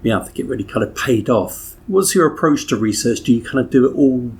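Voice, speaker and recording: A man's voice: mid-range voice, animated, a little fast, creaky, a little mellow, husky. A man working as an interviewer, speaking in a conversational, slightly hesitant and thoughtful way.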